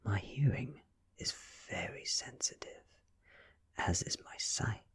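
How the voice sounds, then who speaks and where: A British man reading a story aloud in a soft, breathy ASMR whisper, with hushed, deliberate phrasing and pauses. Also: on the low side, lively intonation. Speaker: audiobook-style ASMR reader.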